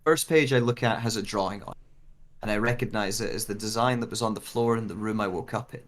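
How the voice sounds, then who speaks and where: A man's voice: on the low side, quick pace, natural voice. An actor playing a bewildered searcher with lost memory, narrating in the first person as he reads a dramatic script. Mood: pensive, searching.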